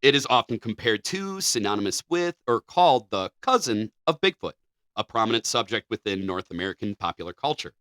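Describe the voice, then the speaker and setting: A man co-hosting a podcast, switching between casual banter and steady reading of facts. His voice is bright and clear, with a dry, close sound and no room echo. He keeps an even, measured pace when reading. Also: mid-range voice. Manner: reads passages in a measured, even delivery. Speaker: podcast co-host.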